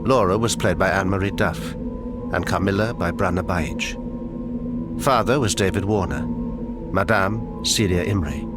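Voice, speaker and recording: A man with a low voice announces closing credits. The reading is formal and scripted.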